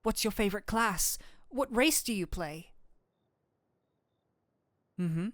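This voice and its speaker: Woman performing a flirtatious demon, secretly geeky, unhurried pace, theatrical formal phrasing, easily flustered, intimate roleplay audio, dry close recording. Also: mid-range voice, animated, a bit bright, dry recording. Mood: gushing, delighted. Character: flirtatious incubus demon, secretly an excitable tabletop-game nerd. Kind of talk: scripted roleplay audio addressed to the listener.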